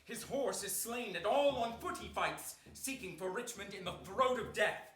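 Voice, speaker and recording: High-voiced, urgent battlefield officer. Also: male voice, animated, moderate pace, fairly bright tone. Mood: urgent, alarmed. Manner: urgent, declamatory calling. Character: urgent, loyal battlefield officer pleading for rescue. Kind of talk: theatrical Shakespearean stage acting. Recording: echoey theatre stage.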